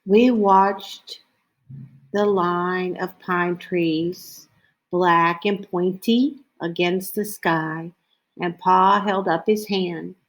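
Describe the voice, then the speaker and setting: A woman reading a children's picture book aloud as a storyteller, in a deliberate way. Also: lowish voice, steady tone.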